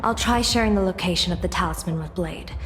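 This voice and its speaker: A woman acts a calm female military leader in a fantasy game. Her voice sits a little low and sounds composed and reassuring. Music plays behind, with long reverb. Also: quick pace, lightly acted.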